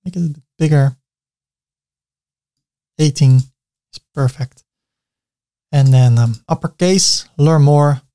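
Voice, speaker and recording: A man's voice: a little high, slow, long pauses, warm tone. A man with a Dutch accent giving a chatty screen-recorded web design tutorial, with self-mocking asides.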